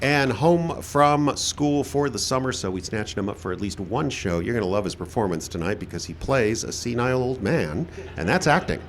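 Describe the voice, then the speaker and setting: A man's voice: medium pitch, expressive, normal speed, hardly any pauses, a little hiss or hum. A man hosting a live radio show outdoors, speaking like a showman with informal asides. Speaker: host and announcer. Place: outdoor park stage.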